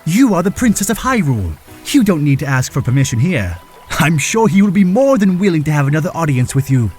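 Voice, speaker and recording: A man's voice: a little high, very animated, fairly quick, a little mellow, soft background music. A man playing a cheerful, gallant, towering fish-folk prince in an audio drama, hearty and courteous. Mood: warm, reassuring.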